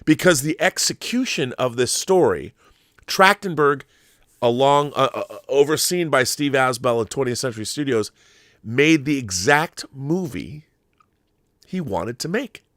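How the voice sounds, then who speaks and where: A man's voice: mid-range voice, animated, a little slow, a bit bright, no room sound, studio quality. A man hosting a film commentary show, talking in a casual, opinionated monologue with an effusive, emphatic, rambling manner and some swearing.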